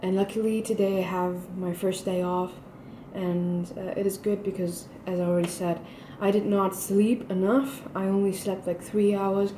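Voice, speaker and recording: A woman's voice: mid-range voice, flat delivery, moderate pace, warm tone, slight background noise, low-quality recording. A young woman from Germany talking casually to her camera in a video diary, rambling with fillers and sounding jet lagged. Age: young adult. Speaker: vlogger.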